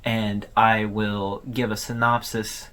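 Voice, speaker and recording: A man's voice: fairly low pitch, flat delivery, takes it slowly, very noisy. A man hosting a video, giving casual, conversational explanatory commentary as he retells a story. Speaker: video host recapping an online horror series.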